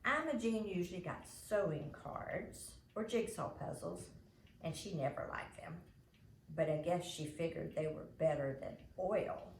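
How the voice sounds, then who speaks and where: Slow, lively woman reading a story aloud. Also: lowish voice. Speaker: storybook reader. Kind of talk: animated read-aloud storytelling. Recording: roomy echo, faint background noise.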